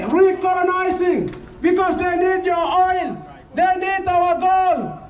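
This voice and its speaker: A man from West Papua shouts an impassioned speech at a street protest, his voice very high and held almost on one note. He is heard from a distance through a roomy, echoing sound with faint noise behind. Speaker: protest speaker. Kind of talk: rally speech. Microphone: handheld microphone on speakers.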